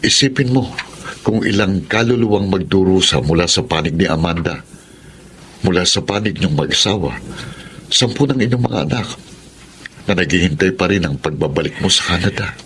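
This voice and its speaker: A man's voice: deep voice, moderate pace, fairly bright tone, a little hiss or hum. A man playing a concerned younger brother who gives earnest counsel in a Filipino radio drama. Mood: earnest pleading.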